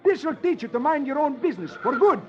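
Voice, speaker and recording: Very high-pitched gloating henchman. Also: big pitch swings, fairly quick. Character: shrill Mexican outlaw henchman, male, taunting. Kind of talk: 1950s radio comic-strip reading. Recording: muffled, very noisy old radio recording.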